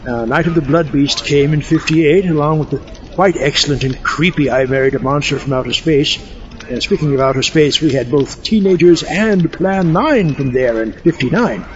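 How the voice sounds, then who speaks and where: A man's voice: fairly high pitch, moderate pace, highs cut off, close to an everyday voice. A man playing a theatrical mad-scientist doctor, pompous and wry, lecturing wordily in a comic audio drama. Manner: pompous, wry, wordy, mock-erudite. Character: theatrical mad-scientist doctor, fussy and haughty film buff.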